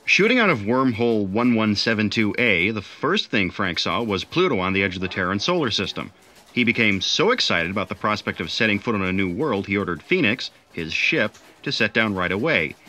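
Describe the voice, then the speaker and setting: A lowish, bright male voice, an actor's narrating a comic sci-fi tale. He sounds dry and matter-of-fact as he explains the backstory. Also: sing-song, brisk. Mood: recounting.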